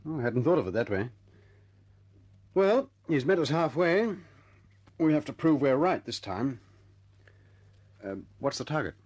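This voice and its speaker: Radio war drama: keen bomber pilot, husky, lively intonation, informal. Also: male voice, on the high side, unhurried, pauses often, full character voice. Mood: questioning, uneasy. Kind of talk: vintage radio drama acting.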